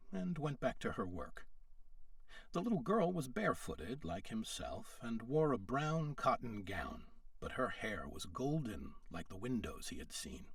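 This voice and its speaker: A man's voice: medium pitch, no room sound, studio quality, distant mic. A man reading a storybook aloud as a narrator, in scripted storytelling style. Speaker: storyteller, audiobook reader.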